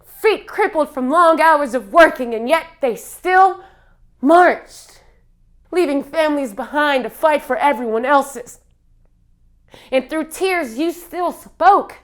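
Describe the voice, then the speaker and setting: A woman performing a theatrical spoken-word monologue in a solemn, impassioned manner, with dramatic pauses. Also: high voice, expressive.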